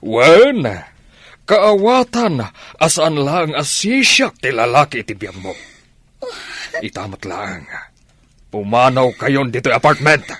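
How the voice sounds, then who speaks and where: An actor with an Ilocano accent playing a hot-tempered, suspicious sugar daddy in a radio drama, drawing out scornful words. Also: male voice, mid-range voice, expressive, slow pace, a bit bright, dry recording. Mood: angry.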